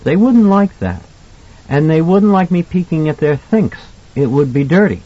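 A man's voice: on the high side, lively intonation, moderate pace, dull audio, pitched above the performer's own voice. A man voicing an earnest, puzzled little boy who speaks politely and innocently in an audiobook. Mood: shy, earnest. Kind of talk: audiobook character acting.

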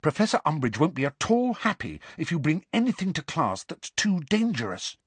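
Earnest schoolgirl, male audiobook reader: high pitch, anxious, articulate, pleading, determined. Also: big pitch swings, a little slow, a bit bright, dry recording, full character voice. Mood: urgent pleading.

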